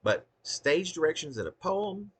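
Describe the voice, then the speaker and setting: A man's voice: a little high, big pitch swings, unhurried, breathy edge. A man giving a dramatic, wry reading of a humorous autobiographical poem, with theatrical emphasis. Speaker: poet reading own work.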